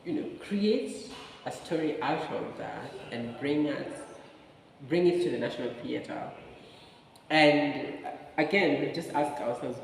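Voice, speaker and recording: A man's voice: a little high, animated, slow, creaky. A man with a Kenyan accent giving a casual conversational review as co-host, hesitant and searching for words, often repeating phrases. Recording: echoey hall, distant mic, faint noise.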